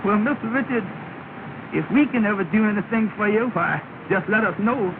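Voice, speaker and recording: High-voiced earnest cabbie, hissy tinny 1930 soundtrack. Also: male voice, moderate pace. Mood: sorrowful. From Georgia. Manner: eager, earnest, fretful. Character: earnest, humble young man, taxi driver. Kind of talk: 1930 film comedy acting.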